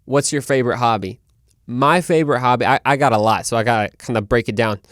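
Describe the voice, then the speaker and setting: Man, clear smooth voice, dry close sound, informal show host, conversational. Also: medium pitch, quick pace, fairly bright tone, dry recording. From Guadalajara, Mexico. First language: Spanish. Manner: informal, conversational, self-interrupting. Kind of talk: casual monologue to listeners.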